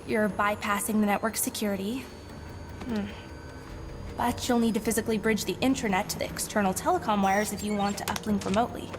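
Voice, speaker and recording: An actress playing a young, tech-savvy woman in a video game, calm and matter-of-fact. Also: medium pitch, brisk, noisy background, close to an everyday voice. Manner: matter-of-fact, explaining technology. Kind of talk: video game cutscene acting. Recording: score behind voice.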